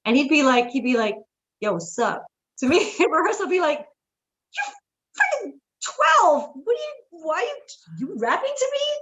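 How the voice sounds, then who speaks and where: A middle-aged woman tells stories in a casual interview, lively and expressive, often breaking into laughter. Her voice sits a little high for a woman. She grew up in New York. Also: normal speed. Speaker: guest, stage actress.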